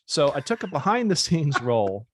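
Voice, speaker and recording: A man's voice: fairly high pitch, big pitch swings, moderate pace, no echo. An American man chatting casually as a podcast host, with a wry, playfully showy way of presenting. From United States.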